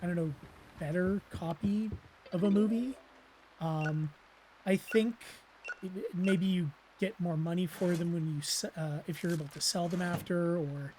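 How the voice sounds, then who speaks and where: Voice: mid-range voice, darkish tone, a little hiss or hum, poor audio. A let's play host giving casual gameplay commentary, rambling with lots of fillers and self-deprecating asides, voice weakened and strained from losing it.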